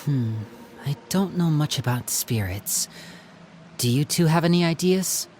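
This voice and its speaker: A man playing a young, protective, composed older brother in a video game, talking in a measured, theatrical way. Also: a little high, lively intonation, a little mellow, slight background noise. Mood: resolute. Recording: music playing behind the voice.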